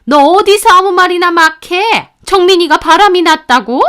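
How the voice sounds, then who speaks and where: A woman voicing a shrill, scolding older mother-in-law in a narrated story. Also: very animated, normal speed, clean tone. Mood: shocked, scolding. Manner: sharp, shrill, accusing.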